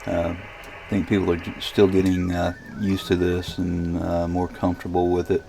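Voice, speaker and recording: A man casually narrating a software demonstration as a radio hobbyist, thinking aloud in a hesitant, rambling way with a gravelly voice. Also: low voice, monotone, normal speed, over music.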